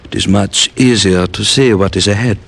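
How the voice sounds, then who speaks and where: A man playing a philosophical island police inspector who talks formally and gives measured, veiled warnings, in a 1950s radio drama. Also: on the low side, creaky, a bit bright, husky, exaggerated voice. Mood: guarded.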